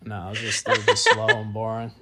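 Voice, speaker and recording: Blunt man with a lively voice. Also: on the low side, fast talker. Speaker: podcast co-host. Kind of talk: casual movie review chat.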